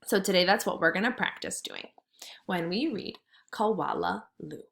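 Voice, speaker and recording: A woman's voice: on the low side, wildly swooping pitch, normal speed, creaky, a bit bright. A woman teaching kindergarten through a read-aloud, instructing and thinking aloud in simple words for young children.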